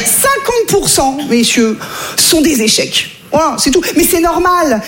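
A woman does stand-up comedy, chatting straight to the audience in a high, bouncy voice that swoops up and down. Her voice rings in an echoey room with faint ambience behind it. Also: slight background noise. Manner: chatty, addresses the audience directly. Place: comedy stage before a live audience.

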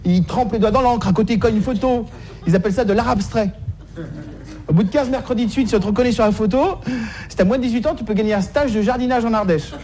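A man's voice: sits high, fast talker, warm tone, a little hiss or hum. A man doing a stand-up comedy monologue in slangy, streetwise, mock-naive style, repeating phrases as he goes, on a live stage recording.

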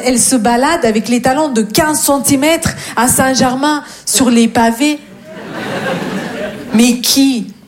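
A young woman from Russia with a Russian accent, doing a stand-up set and teasing the audience deadpan. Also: a little high. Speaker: stand-up comedian. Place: comedy club stage. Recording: echoey club with crowd laughter. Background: audience laughter.

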